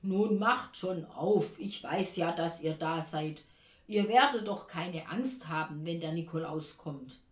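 A woman storyteller acts out a storybook Saint Nicholas, a man who coaxes and gently teases. The words are drawn out with hearty emphasis, and the room echoes. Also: on the low side. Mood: coaxing. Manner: slow, drawn-out, emphatic coaxing. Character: coaxing, gently teasing male Saint Nicholas visitor. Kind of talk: children's storybook character voice.

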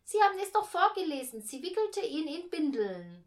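A puzzled young boy from a storybook, voiced by a woman. He sounds high and animated, insistent and demanding in his questioning. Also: normal speed. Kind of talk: storybook reading.

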